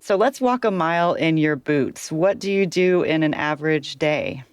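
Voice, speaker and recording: A female podcast host with a slightly low voice who keeps her interview questions brief. Her prompts come across as friendly and easygoing. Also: lively intonation, normal speed.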